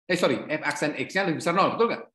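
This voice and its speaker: A man's voice: fairly high pitch, rapid-fire, fairly bright tone. A middle-aged man teaching a calculus lesson online, asking questions and correcting himself as he goes. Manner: questioning, self-correcting, repeats "ya". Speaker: lecturer. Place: home room, not office. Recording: echoey room.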